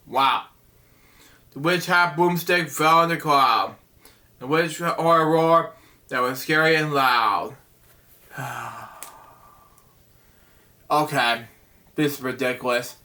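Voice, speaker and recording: A man reads a children's picture book aloud at a slow, unhurried pace. His voice sits a little high and sounds bright and forward. He often stumbles, restarts and breaks off into chatty asides to the listener. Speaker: storyteller reading a picture book. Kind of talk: reading a bedtime story aloud with rambling asides.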